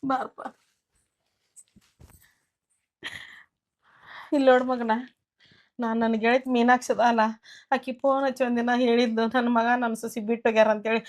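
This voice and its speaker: A woman's voice: fairly high pitch, level tone, close to an everyday voice. A middle-aged woman with a North Karnataka Kannada accent, playing a jealous, possessive mother-in-law who scolds her son and daughter-in-law. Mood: remorseful. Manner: scolding, sharp, and quick to blame. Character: jealous possessive mother-in-law, quick-tempered, later remorseful. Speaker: actor. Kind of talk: village family drama acting.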